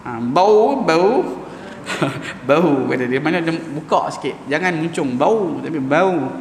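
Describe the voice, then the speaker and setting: Gravelly-voiced man teaching in an echoing mosque. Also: fairly high pitch, animated, a little hiss or hum. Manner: informal, explaining, drawing out Arabic sounds slowly to demonstrate. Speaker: Quran teacher. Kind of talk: Quran recitation class in a mosque. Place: large mosque hall. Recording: echoey mosque hall with long reverb.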